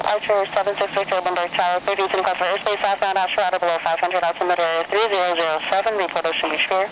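A man's voice: high-pitched, level tone, fast. A man working as an airport tower controller, speaking in terse, procedural air traffic phrases over radio.

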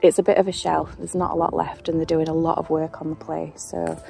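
A young woman who hosts an exploring vlog. She talks in a relaxed, chatty way, with fillers, and also reads narration. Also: low-pitched, a little fast, vocal fry, soft background music. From United Kingdom. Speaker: urban exploration vlogger. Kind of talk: casual vlog chat and scripted narration.

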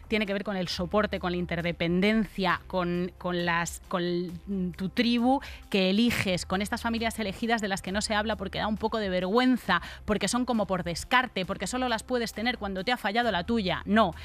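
A woman's voice: mid-range voice, moderate pace. A woman in her forties with a Spain Spanish accent, co-hosting a podcast in an articulate, frank way, close on a studio mic. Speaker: podcast co-host. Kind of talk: opinionated storytelling podcast chat. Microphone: close studio microphone on arm.

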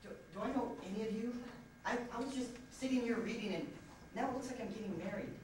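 A man's voice: very high-pitched, fast talker, a little mellow. A young man playing a bewildered, reluctant groom in an amateur stage play, speaking hesitantly and stammering. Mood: flustered, confused. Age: young adult. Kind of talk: amateur high school stage acting. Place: theatre stage, camera far off. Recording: distant, echoey, noisy camcorder stage recording.

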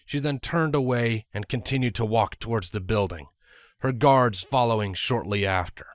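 A man's voice: mid-range voice, normal speed. A man reading scripted story narration as the narrator.

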